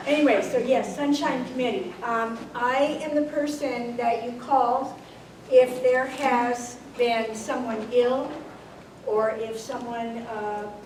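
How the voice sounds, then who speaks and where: Slow, loud older woman in an echoey hall. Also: a little high, steady tone. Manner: slow, loud-voiced, speaks without a microphone. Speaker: committee head. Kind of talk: announcement at a club meeting. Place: meeting hall. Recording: echoey hall, voice from far off, background noise.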